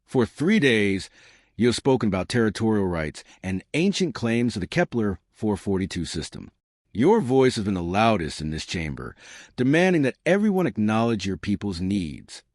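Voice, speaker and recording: Man voicing a calm, gentle human diplomat. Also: on the low side, moderate pace, no room sound, clean studio sound. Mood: gentle, solemn. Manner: soft-spoken, gentle, certain. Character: quiet, calm, compassionate human male diplomat.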